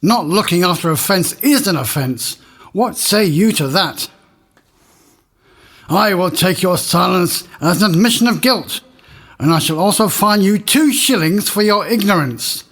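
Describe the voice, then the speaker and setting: An actor playing a pompous, stern and obtuse judge of a village manor court in a comic audio drama. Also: male voice, high voice, expressive, measured pace, a bit bright, full character voice. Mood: indignant, pompous.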